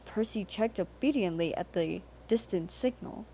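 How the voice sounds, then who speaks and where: A woman's voice: mid-range voice, normal speed, warm tone, slight background noise, low-quality recording. A woman narrating a children's storybook aloud from a script.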